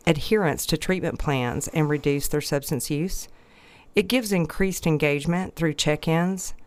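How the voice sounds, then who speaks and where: A woman's voice: low-pitched, clear, resonant tone, dry recording. A woman hosting a podcast interview, slightly stuffy, speaking in an empathetic, probing way. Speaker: podcast host.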